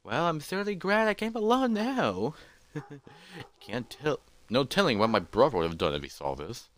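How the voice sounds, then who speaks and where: A man's voice: fairly high pitch, very animated, normal speed, a bit bright, pitched above the performer's own voice. Man acting a hesitant, musing scholar-adventurer. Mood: worried, hesitant. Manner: hesitant, muttering to himself. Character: cautious, scholarly male adventurer musing to himself.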